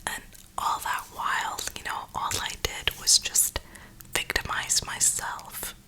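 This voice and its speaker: A woman speaking in a soft, breathy whisper, giving an earnest, reflective, motivational ASMR ramble close to the microphone. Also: takes it slowly. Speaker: ASMR creator and gamer.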